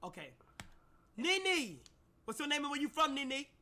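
A man hosting a group video call on a livestream, firing off quick questions and calling out names loudly. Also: very high-pitched, big pitch swings. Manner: loud, rapid roll-call questions. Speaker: stream host.

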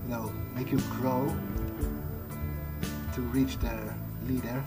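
Musing man over loud game music. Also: mid-range voice, level tone, slow pace. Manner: musing, hesitant, mumbling to himself. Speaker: gamer playing in character. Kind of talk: in-character gameplay commentary, thinking aloud.

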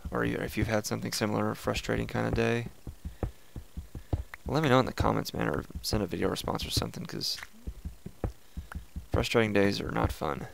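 A young man with a raspy voice, casually chatting and thinking aloud as he hosts a gameplay video. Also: lowish voice, fairly quick, pauses often, background noise. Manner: relaxed, chatty, thinking aloud while playing. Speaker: let's play host.

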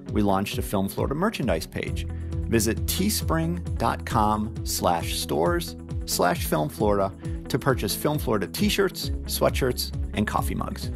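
A man hosting a podcast, reading a measured scripted introduction and then asking interview questions. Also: mid-range voice, vocal fry. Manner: measured, announcer-like delivery. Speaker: podcast host.

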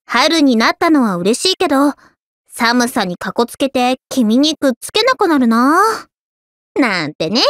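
A woman voicing an easygoing, affectionate teenage schoolgirl in an anime game, with a caring, gently teasing manner. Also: high-pitched, dry recording, clean studio sound, exaggerated voice. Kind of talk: scripted anime game voice lines.

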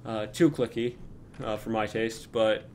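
A young man chatting casually while gaming, talking in halting bits with plenty of fillers. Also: on the low side, fairly even intonation, a little mellow, a little hiss or hum. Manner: hesitant, many fillers. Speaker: channel host gamer.

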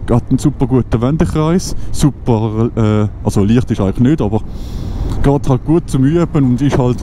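A man in his twenties with a Swiss German accent, chatting casually while riding a motorcycle, thinking aloud with many fillers and restarts. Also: mid-range voice. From Switzerland. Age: 20s. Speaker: motovlogger. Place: outdoors on a moving motorcycle on country roads. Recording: wind and engine noise from riding.

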